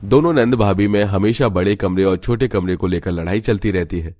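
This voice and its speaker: A man's voice: on the low side, fairly quick, a little mellow, dry recording, studio quality. A man reading scripted narration for a family cartoon story.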